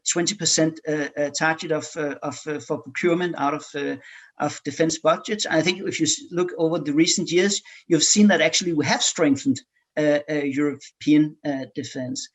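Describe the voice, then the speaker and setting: A man from Denmark, speaking as a panelist in a policy discussion, pausing often with filler sounds and repeated words. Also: a little high.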